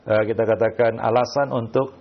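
A man with a gravelly, creaky voice teaches religion in answer to questions. He speaks haltingly, often tagging his phrases and slipping into Arabic quotations. The sound is muffled and lo-fi. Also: mid-range voice, little pitch movement. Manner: halting, repeats filler tags, quotes Arabic phrases. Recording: muffled, dull lo-fi audio.

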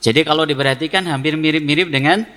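A man's voice: a little high, fast talker, bright, forceful tone. A man with a Javanese Indonesian accent giving an Islamic lecture, explaining didactically with repeated phrases and questions he answers himself. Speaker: Islamic preacher teaching a congregation. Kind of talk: religious lecture, reading Arabic text then explaining it. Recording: long reverberant hall echo.

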